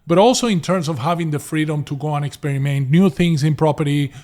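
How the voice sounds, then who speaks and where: A man's voice: a little high, fast, a little mellow. A middle-aged man from Venezuela with a mixed Latin American, American and Australian accent, telling his story as an interview guest with frequent restarts and fillers. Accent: Venezuelan Spanish, mixed with American and Australian. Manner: restarts and repeats words, many fillers. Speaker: guest, property investor.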